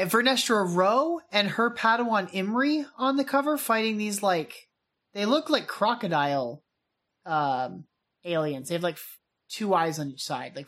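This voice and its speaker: A woman's voice: mid-range voice, fairly bright tone. A woman co-hosting a podcast, chatty and enthusiastic in casual talk. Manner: chatty, enthusiastic, slangy, says dude and hype. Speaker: podcast co-host. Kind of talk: casual chat.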